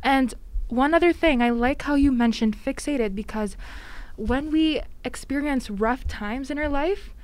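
A woman's voice: high voice, measured pace, darkish tone, rough recording. Earnest woman steering a faith chat. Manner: earnest, affirming, steers the talk. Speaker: podcast co-host. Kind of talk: casual faith podcast chat.

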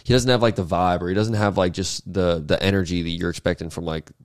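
A man's voice: low voice, steady tone, fast, dry recording, clean studio sound. A young man hosting a podcast, asking questions in a loose, rambling, casual way. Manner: rambling, casual, lots of fillers and swearing. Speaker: podcast host.

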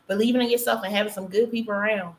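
A woman's voice: mid-range voice, steady tone, very fast. A woman host, chatting casually and encouragingly with her guest. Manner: encouraging, frequent affirmations. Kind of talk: casual interview talk. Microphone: video call.

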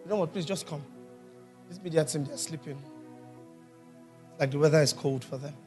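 A man's voice: a little high, normal speed, mellow, faint music behind. A man preaching a sermon in a church, reading scripture and quizzing the congregation. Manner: teaching, asks the congregation questions. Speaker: pastor.

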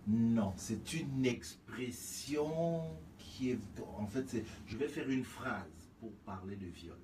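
A man hosting a radio talk show who cuts in to question his columnist in a measured way. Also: mid-range voice, a little mellow. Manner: measured, interjecting to question the guest. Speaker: radio host. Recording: distant mic with background hiss.

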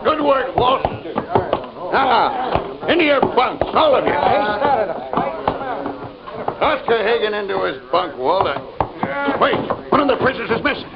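An actor playing a brutal, bullying prison work-gang boss who barks orders and taunts, in an old-time radio western. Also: male voice, extremely high pitch, big pitch swings, measured pace, pauses often, full character voice. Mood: commanding, agitated. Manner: barking orders, menacing taunts. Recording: muffled, noisy old radio recording.

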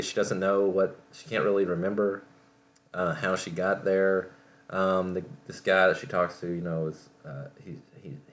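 A man with a low voice talks casually on his own as a podcast host. He rambles along haltingly, doubling back to correct himself. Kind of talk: casual solo talk reviewing entertainment.

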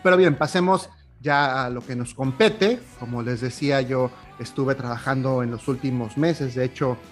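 Young Mexican man, podcast host, chatty monologue, average pitch, faint music bed. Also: moderate pace. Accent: Mexican Spanish. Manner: chatty, warm-hearted, with filler "eh, pues".

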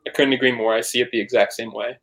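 A man's voice: medium pitch, flat delivery, very fast, fairly bright tone. A man being interviewed on a podcast, talking casually and rambling with lots of "like" and some swearing. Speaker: podcast guest, band member and photographer.

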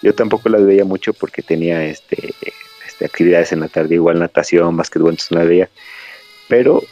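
A man's voice: low-pitched, a little fast, warm tone. A Mexican man hosting a podcast, chatting casually and digressing as he tells stories. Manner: rambling, storytelling, digressive. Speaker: podcast host. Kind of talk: casual chat. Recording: dry, slightly dull lo-fi audio.

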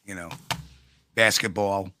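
A man with a New York accent chats on a live show in a folksy, blunt way. His voice sits low yet cuts bright and forceful, recorded close and dry. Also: steady tone, takes it slowly, dry recording. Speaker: co-host. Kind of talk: casual podcast chat with live chat.

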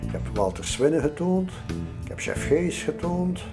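Older male interviewee, Flemish accent, lively anecdotal delivery, faint music underneath. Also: on the high side, a little slow, creaky. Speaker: interview guest recounting his past. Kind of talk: informal spoken reminiscence.

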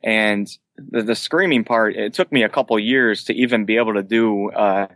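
A man in his late twenties speaks in a fairly low voice with very little room sound. He is a band vocalist giving casual, conversational answers as an interview guest. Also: moderate pace, a bit bright, dry recording, studio quality. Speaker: guest, band vocalist who sings and screams.

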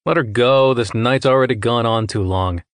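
A man's voice: medium pitch, moderate pace, clear, resonant tone, dry recording, clean studio sound, close to an everyday voice. A man voicing an irritable, impatient male official in a narrated story, huffy and dismissive.

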